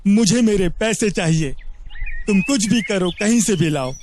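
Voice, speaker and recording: A man's voice: high-pitched, animated, measured pace, darkish tone, a little hiss or hum. A man voicing a greedy, heartless, bullying village moneylender in a cartoon moral story, bossy and scornful. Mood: threatening.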